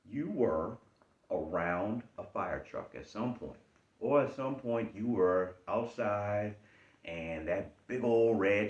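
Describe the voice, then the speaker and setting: Deep voiced guy w/ charleston geechee accent, preachy self-help talk, roomy kinda dull sound. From Charleston, South Carolina. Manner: emphatic, repetitive, lively swings in pitch. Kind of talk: motivational talk.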